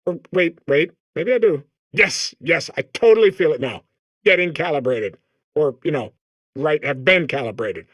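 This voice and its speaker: Give this one a lively, higher-pitched male voice for an eager, naive little robot in a comedy game. He babbles, apologizes and keeps doubting himself. The pitch jumps around a lot, and the recording is dry and close. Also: normal speed, dry recording, dull audio. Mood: nervously cheerful. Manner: babbling, second-guessing, apologetic. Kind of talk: comedic video game voice acting.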